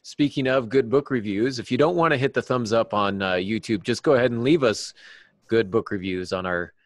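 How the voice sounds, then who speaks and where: A man's voice: mid-range voice, a little fast, no echo. A man co-hosting a podcast, chatting casually in a rambling, self-deprecating way. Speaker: podcast co-host and author. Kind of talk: casual chat.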